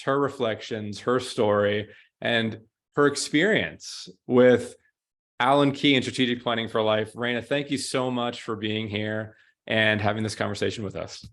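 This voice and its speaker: A man's voice: on the low side, fairly even intonation, moderate pace, fairly bright tone. A man hosting a podcast interview, speaking in an enthusiastic, effusive way. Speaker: podcast host. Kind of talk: casual interview conversation.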